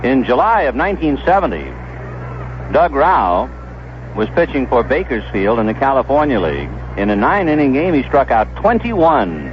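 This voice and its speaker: An American man calling baseball play-by-play on the radio, conversational and descriptive, filling in stories between pitches. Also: very animated, normal speed. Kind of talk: play-by-play commentary. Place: ballpark broadcast booth. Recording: dull, noisy vintage 1970s radio broadcast.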